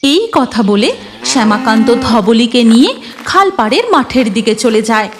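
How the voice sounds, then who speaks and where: High, animated woman cartoon storyteller. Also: normal speed, crowd noise. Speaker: narrator. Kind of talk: dramatic scripted cartoon storytelling. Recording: music under the voice.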